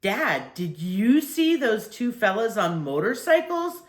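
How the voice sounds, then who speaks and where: An eager, contented little boy in a children's story, voiced by a woman reader. His speech rises and falls in a lively way, with room echo. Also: medium pitch, takes it slowly, pitched above the performer's own voice. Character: contented, curious young boy on holiday.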